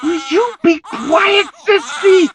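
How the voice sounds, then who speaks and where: A storybook reader voicing an angry young boy who groans, shouts and snarls, in a high strained tone. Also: lively intonation, a little slow, crowd noise, lightly acted. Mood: furious.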